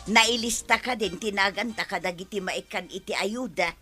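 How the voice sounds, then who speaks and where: A woman's voice: mid-range voice, moderate pace, sharp tone, husky, faint music behind. A woman playing a feisty, complaining poor village wife in a comedy radio drama, grumbling and exclaiming. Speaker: actor in an Ilocano comedy drama.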